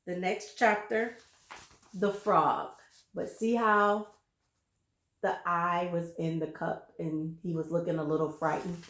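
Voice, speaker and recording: A woman reading a children's story aloud with deliberate, dramatic emphasis and brief asides to her listeners. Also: on the low side, fairly even intonation, fairly bright tone, reverberant.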